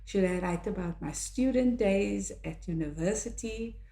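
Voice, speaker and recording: An older woman from South Africa, a native Afrikaans speaker with a South African accent, reflecting on her own life in a measured, earnest way. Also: medium pitch, vocal fry, warm tone. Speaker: author and podcast host. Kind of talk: reflective personal monologue. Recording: echoey room.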